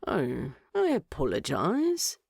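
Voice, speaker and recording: A woman's voice: sits low, wildly swooping pitch, takes it slowly, creaky, husky, dry recording, studio quality, heavily acted. Woman voicing a needling male dwarf king. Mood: mock innocent. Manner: loud, sarcastic, needling. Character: gruff dwarf king, male, teasing with mock innocence. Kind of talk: audiobook character voice.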